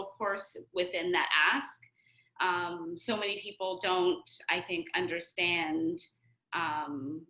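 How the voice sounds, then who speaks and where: A woman's voice: fairly low pitch, sharp tone, reverberant, poor audio. A woman on a discussion panel, speaking thoughtfully as she builds on the points others have made.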